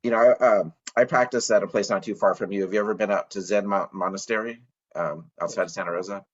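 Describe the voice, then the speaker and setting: Low-voiced male interviewer, lo-fi audio. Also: fairly even intonation, fast talker. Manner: frequent soft back-channel "mm-hmm"s, hesitant questions. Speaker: podcast host, interviewer. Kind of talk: casual interview questions.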